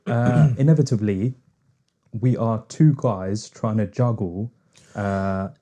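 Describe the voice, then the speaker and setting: A man's voice: lowish voice, slow, mellow. A man from the UK, co-hosting a podcast and rambling with lots of filler words. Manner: rambling, full of fillers and hedges. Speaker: podcast co-host. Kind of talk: casual chat.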